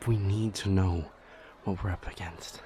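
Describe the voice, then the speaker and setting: A man playing a shaken, devoted demon lover in an audio drama, halting and breathy as he speaks. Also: low-pitched, steady tone, mellow, a little hiss or hum. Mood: urgent, desperate. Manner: halting, stammering, breathy urgent delivery. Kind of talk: intense dramatic audio roleplay acting.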